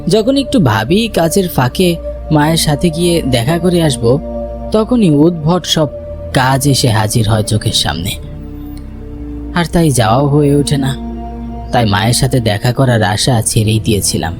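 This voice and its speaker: A man playing a homesick, worried village son, narrating a dramatized first-person story in an expressive, reflective way. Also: medium pitch, normal speed, over music, natural voice. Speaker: narrator and main character.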